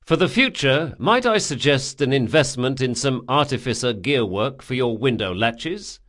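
A man narrating an audiobook, voicing a brash, sardonic thief who speaks forcefully, with menace and exasperation. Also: medium pitch, animated, normal speed, close to an everyday voice. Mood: sardonic, cocky. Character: brash, sardonic male thief, threatening, foul-mouthed.

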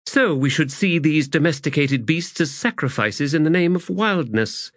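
A man voicing a reflective, soft-hearted woman with an earnest, musing manner, in an audiobook. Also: fairly high pitch, expressive, normal speed, no room sound, studio quality, voice pushed up.

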